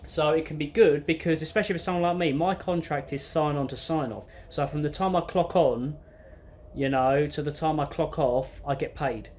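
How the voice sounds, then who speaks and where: A twenty-year-old man, chatting off the cuff to camera in an informal, matter-of-fact, rambling way. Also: on the high side. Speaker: bus driver vlogger. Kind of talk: unscripted casual chat to camera. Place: inside an empty parked bus. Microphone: phone or camera at arm's length. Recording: clear ambient noise inside bus.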